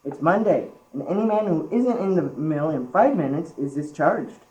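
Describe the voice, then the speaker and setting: A woman's voice: low voice, lively intonation, moderate pace, mellow, highs cut off. A young teenage girl playing an exasperated, blustering male mill boss in an amateur school radio play.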